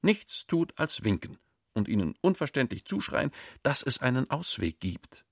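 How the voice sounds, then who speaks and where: An actor playing a stiff, moralising middle-aged schoolteacher in a radio play, speaking formally and earnestly with a pedantic air. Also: male voice, medium pitch, big pitch swings, normal speed, vocal fry, dry recording, studio quality. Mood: earnest, urgent.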